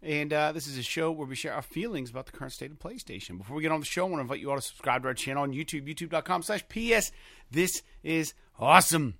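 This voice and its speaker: A man's voice: fairly high pitch, quick pace, dry recording. Laid-back male podcast host, dry close sound. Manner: reads show plugs in a relaxed, offhand way, swears casually. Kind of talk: casual podcast chat.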